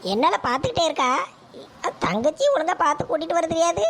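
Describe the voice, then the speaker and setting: A sharp-tongued old grandmother from a village comedy skit. She speaks in a high, gravelly voice. She nags and scolds without pause, with a faint background hum. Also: animated. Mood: scolding. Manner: nagging, scolding, sharp rebukes. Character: scolding village grandmother, older woman, quick-tempered.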